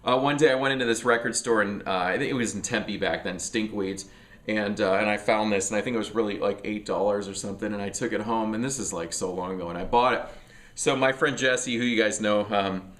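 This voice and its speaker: A man's voice: lowish voice, fairly quick, a bit bright. A middle-aged man, sniffly from allergies, chats casually and rambles about records at home, with lots of fillers and restarts. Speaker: music vlogger. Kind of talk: casual record collection chat.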